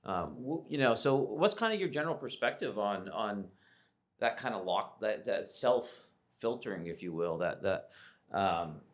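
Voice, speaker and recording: An American man hosting a conversational interview, speaking in measured, thoughtful phrases. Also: lowish voice, lively intonation. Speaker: podcast host, interviewer.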